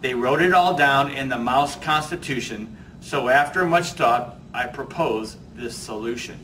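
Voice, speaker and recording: A man voicing a wise old senator mouse from a storybook, oratorical and deliberate. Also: mid-range voice, sharp tone. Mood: stately, persuasive. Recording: distant mic, faint background noise.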